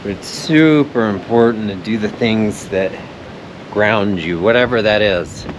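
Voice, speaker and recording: A man with a fairly low voice talks casually and reflectively, as a travel vlogger would. Clear ambient noise sits behind him. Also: measured pace. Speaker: travel vlog host, nonprofit representative. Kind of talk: casual reflective talk to camera.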